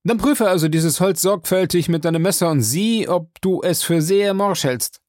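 A reader voicing an excitable, obsessive man who calls out commands in an urgent voice. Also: mid-range voice, normal speed, no echo, studio quality, voice pushed up, close to an everyday voice. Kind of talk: audiobook character voice.

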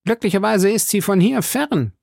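A man voicing a boisterous, hot-headed musketeer with a loud, exclaiming manner in an audiobook story. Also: high voice, expressive, normal speed, gravelly, no room sound, studio quality, pitched above the performer's own voice, heavily acted. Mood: blunt.

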